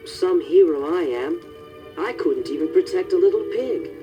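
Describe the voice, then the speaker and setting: Teenage boy hero played by a man, high, mellow, expressive, unhurried, earnest storybook acting. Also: dull audio, faint music behind, lightly acted. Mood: downcast. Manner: earnest, unsure of himself.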